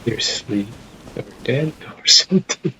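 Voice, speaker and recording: A man's voice: medium pitch, animated, normal speed, sharp tone, a little hiss or hum. A man doing casual live stream commentary on a video game, with offhand reactions and some game lines read aloud. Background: faint game music.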